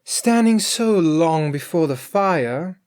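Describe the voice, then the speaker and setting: A woman's voice: on the low side, lively intonation, slow, a little mellow, pitched above the performer's own voice. A storyteller voicing a cheeky, greedy female cook from a storybook, merry, emphatic and full of excuses for herself. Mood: bright, self-justifying. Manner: emphatic, exclaiming. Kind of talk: storybook character voice.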